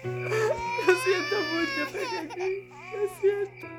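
Radio play: an actress as a tender-hearted young mother, high and tearful, pleading over music. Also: animated, takes it slowly, full character voice. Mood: hushed sorrow. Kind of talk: radio drama acting. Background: background music.

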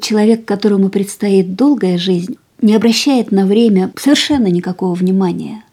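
An actress playing a gravely ill young woman who speaks quietly, tiredly and resolutely, often in a whisper, in a radio play. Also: medium pitch, normal speed. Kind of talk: studio radio drama acting.

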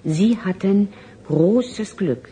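A woman playing a calm, solemn transhuman emissary in a German radio drama, speaking in deliberate, formal, oddly stilted phrases. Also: medium pitch. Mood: solemn, earnest.

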